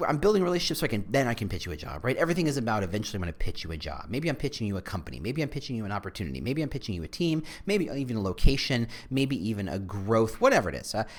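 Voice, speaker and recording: A middle-aged man talks through a solo podcast in a casual, rambling way, often restarting and going off on asides. His voice sits a little low, and his intonation is lively and animated. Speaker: podcast host.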